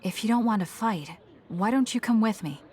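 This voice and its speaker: A woman's voice: a little high, animated, moderate pace, a little mellow, natural voice. An actress playing a cool, blunt, determined young female soldier in a video game, reserved and matter-of-fact. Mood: cool, firm.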